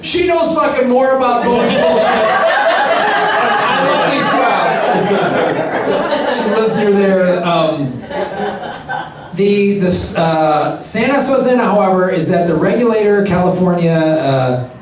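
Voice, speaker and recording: A man's voice: sits high, animated, slow, noisy background. A man hosting a live comedy show, telling a story with sarcastic, profane asides. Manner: joking, profane, sarcastic asides between facts. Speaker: comedy podcast host. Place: live venue before an audience. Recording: echoey live room, distant mic, crowd.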